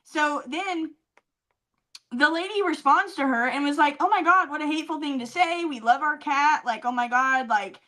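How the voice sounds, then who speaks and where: A high-pitched woman with a bright, forceful voice co-hosts a casual comedy podcast. She tells stories with heavy emphasis and plenty of swearing. She likes to repeat phrases to drive them home. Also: normal speed. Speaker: podcast co-host. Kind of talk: casual comedic chat, storytelling.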